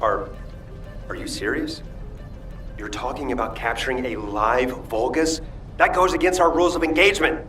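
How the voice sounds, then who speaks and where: A man playing an earnest soldier who loves cooking in a video game, expressive and a little hesitant. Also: mid-range voice, moderate pace, long pauses, soft background music, lightly acted. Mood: exasperated.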